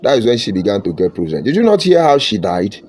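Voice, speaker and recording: A man's voice: lowish voice, big pitch swings, fast talker, darkish tone, slightly rough. A Nigerian man giving a fervent, combative political monologue, slipping into Pidgin phrases. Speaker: political commentator.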